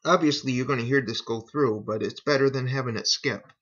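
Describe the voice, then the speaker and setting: Unhurried man, dull lo-fi home recording. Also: medium pitch, fairly bright tone, breathy edge. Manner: unhurried, folksy, talking through each step. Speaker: hobbyist demonstrating a repair. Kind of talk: casual how-to narration.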